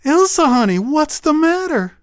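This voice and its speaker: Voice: sits high, sing-song, moderate pace, no room sound, pitched above the performer's own voice. A storyteller doing a fond middle-aged country mama in a folktale, coaxing and tender, her voice lifted a little above the teller's own. Her speech rises and falls a lot, heard close and dry.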